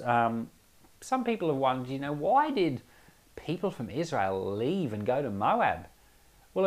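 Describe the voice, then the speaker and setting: A man giving conversational Bible commentary as a pastor, explaining things emphatically with asides to the listener. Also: mid-range voice.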